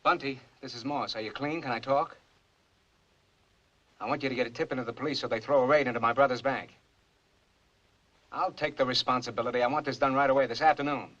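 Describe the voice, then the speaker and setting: An actor in an old film playing a smooth, persuasive racketeer lawyer. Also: male voice, medium pitch, steady tone, fast, long pauses, fairly bright tone, natural voice. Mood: curt. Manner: persuasive, coaxing. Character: smooth-talking racketeer lawyer, younger brother. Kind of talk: 1940s film acting. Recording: dull old film soundtrack.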